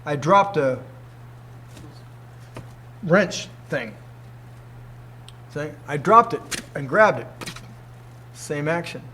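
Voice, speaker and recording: A man's voice: a little high, expressive, very slow, pauses often, bright, forceful tone, slight background noise. A man in his sixties, a martial arts teacher, giving an informal talk to students, emphatic and fond of rhetorical questions. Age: 60s.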